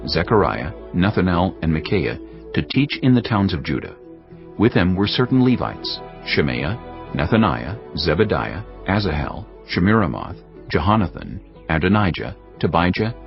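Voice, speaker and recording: Very deep, gravelly male narrator. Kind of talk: scripted audio Bible narration. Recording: dull lo-fi audio over music.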